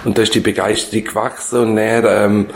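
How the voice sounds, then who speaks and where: An older man with a Swiss German accent, reflecting in an interview in a halting way, often searching for words. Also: fairly low pitch, level tone. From Switzerland. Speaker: former schoolteacher being interviewed.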